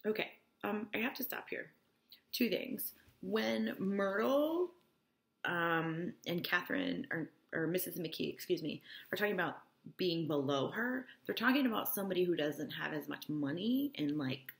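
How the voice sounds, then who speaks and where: A woman's voice: fairly low pitch, animated, measured pace, creaky. A woman teacher reading a novel aloud and explaining it informally, often checking that listeners follow. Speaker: English teacher.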